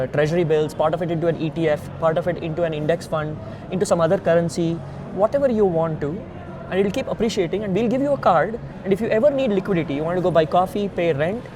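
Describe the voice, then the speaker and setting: Male, Indian accent, slightly high register. Earnest, emphatic interviewee explaining step by step over noticeable outdoor traffic noise. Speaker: founder and CEO being interviewed. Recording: noisy outdoor ambience with traffic rumble.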